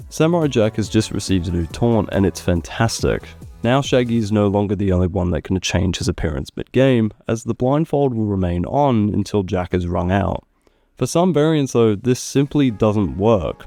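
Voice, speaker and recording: A man narrating scripted gaming ranking commentary in a measured, opinionated, critical way. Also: fairly low pitch, gravelly, warm tone. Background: background music.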